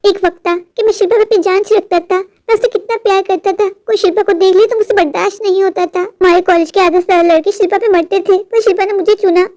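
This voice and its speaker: A woman voicing an earnest, gullible village husband in a cartoon, wordy and easily flattered. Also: very high-pitched, fast, hardly any pauses. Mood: fretful. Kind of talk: cartoon dialogue and monologue.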